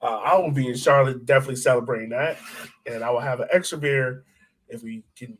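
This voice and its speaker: A man's voice: medium pitch, fast. A man co-hosting a soccer podcast in casual, slangy, conversational sports chat.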